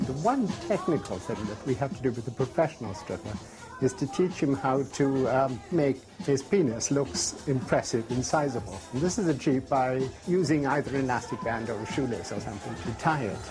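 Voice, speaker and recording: A man speaking as an agent in an interview, measured and slightly formal. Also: medium pitch, animated, music in the background. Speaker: stripper agent.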